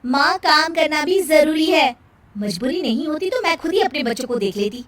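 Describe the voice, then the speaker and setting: A woman puts on a young working daughter-in-law for a cartoon moral tale. Her voice is high and emphatic, with a huffy, defensive, harried edge. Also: lively intonation, normal speed, a bit bright. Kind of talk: cartoon moral story acting.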